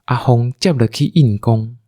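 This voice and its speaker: Taiwanese guy narrating a radio story, slow, raspy, dry close sound. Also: medium pitch, dark tone, no echo. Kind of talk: scripted storytelling narration for audio drama.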